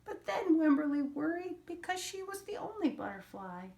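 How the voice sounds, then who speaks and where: An older woman reading a children's picture book aloud as a storyteller, pausing to explain things to young listeners. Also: very high voice, sing-song, creaky, a little mellow, slight background noise.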